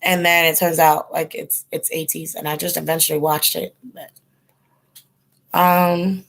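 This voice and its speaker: Gravelly, fading-voiced woman streamer. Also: deep voice, level tone, a little slow, fairly bright tone. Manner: rambling and informal, heavy with ums and likes. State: losing her voice. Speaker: host of a tarot channel, chatting with live viewers. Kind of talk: casual livestream chat.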